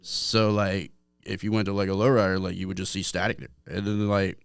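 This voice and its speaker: A man's voice: on the low side, little pitch movement, a little fast, gravelly, clean tone, no room sound, studio quality. A man from Atlanta, a guest musician, telling stories in a joking, rambling way full of fillers. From Atlanta, Georgia, USA. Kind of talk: casual interview chat.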